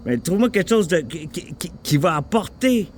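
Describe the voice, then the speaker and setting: A man with a Quebec French accent chatting casually in a rambling, halting way, repeating himself and swearing informally. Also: high voice, big pitch swings, slight background noise. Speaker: vlogger talking with an AI assistant.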